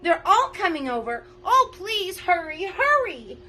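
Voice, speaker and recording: A woman voicing an excitable, pleading baby dragon in a children's storybook reading. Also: very high-pitched, a little slow, slight background noise, voice pushed up, exaggerated voice. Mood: frantic, pleading.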